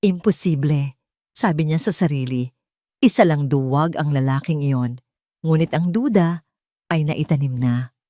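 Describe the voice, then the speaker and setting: A woman's voice: deep voice, a little slow, long pauses, warm tone, no room sound, studio quality. A woman narrating a scripted love story in a dramatic storytelling style.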